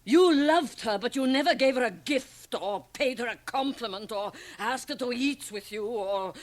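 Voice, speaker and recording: A woman's voice: on the high side, normal speed, no room sound, lightly acted. Theatrical, mocking European actress as magic-show woman. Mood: teasing, provocative. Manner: theatrical, teasing, taunting. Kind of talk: 1980 radio drama acting. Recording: 1980 radio drama, home cassette transfer.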